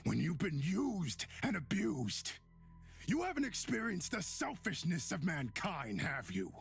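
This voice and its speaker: A man voicing a bitter, mocking centuries-old demon in a young man's body, with theatrical drawn-out delivery, for a visual novel game. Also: high-pitched, wildly swooping pitch, vocal fry, exaggerated voice. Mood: bitter, taunting. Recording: music behind the voice.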